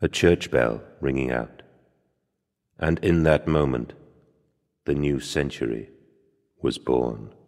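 An actor playing a tormented, remorseful father in a video game, speaking in a grave, husky, mournful and weighty theatrical way. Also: a bass voice, slow, pauses often, darkish tone. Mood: sombre, elegiac. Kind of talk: dramatic video game voice acting. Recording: long reverberant tail on the voice.

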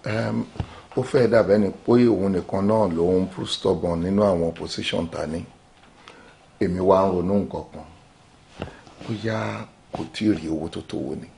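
A middle-aged Nigerian actor playing a smug politician who plots to buy off opponents. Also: male voice, low-pitched, a little fast, gravelly, warm tone, a little hiss or hum. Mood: scheming. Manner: smug, conspiratorial. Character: scheming politician who buys off rivals.

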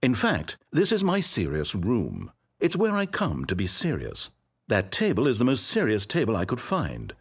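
A sardonic game narrator. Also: male voice, on the low side, huge pitch swings, moderate pace, husky, heavily acted. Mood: mock-serious. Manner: dry, sardonic, mock-patient storyteller. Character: fussy, theatrical male game narrator, controlling and sarcastic. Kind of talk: scripted video game narration.

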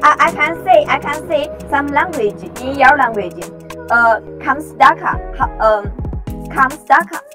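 Young woman, native Mandarin speaker, higher voice, halting English, webcam, music in background. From China. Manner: halting, searching for English words. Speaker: video chat stranger. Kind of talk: casual chat. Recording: music playing under voice.

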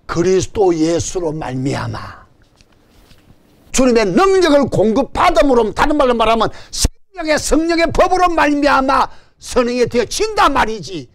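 Older gyeongsang pastor, animated sermon, cracks jokes, asks for amens, high and very lively. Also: male voice, normal speed. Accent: Gyeongsang Korean. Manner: animated preaching with call-and-response and jokes. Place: church stage before a banner. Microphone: stand mic in front.

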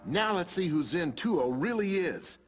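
An actor playing a confident, clean-cut young gang leader in a cartoon video game, earnest and take-charge. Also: male voice, high voice, animated, a little slow. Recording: loud music behind.

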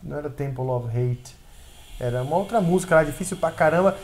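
A middle-aged Brazilian man talking as a guest on a couch, an animated storyteller who stumbles and repeats words. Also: fairly high pitch, fast. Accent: Brazilian Portuguese. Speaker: guest musician. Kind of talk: casual interview conversation. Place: indoor living room set on a couch.

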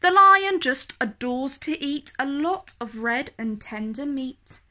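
A woman reading children's verse aloud as a storyteller, expressive and deliberate in her delivery. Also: sits high.

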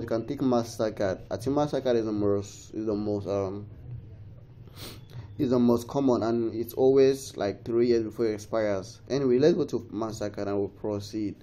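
Man with a cold, mellow voice. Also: mid-range voice, moderate pace. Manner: rambling, restarts often, pleading with viewers. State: has a cold. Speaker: tech tutorial host. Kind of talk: casual screen-recorded tutorial.

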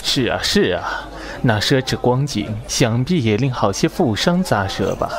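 A man playing a gossiping teahouse guest who chats in an animated way. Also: medium pitch, unhurried. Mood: gossipy. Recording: busy crowd around. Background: crowd chatter.

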